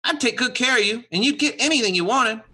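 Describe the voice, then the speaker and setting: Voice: mid-range voice, lively intonation, fast, heavy background noise, close to an everyday voice. An actor playing an eager, earnest, folksy country boy in a radio play. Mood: tender, excited. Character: eager, tender country boy, devoted to his pet.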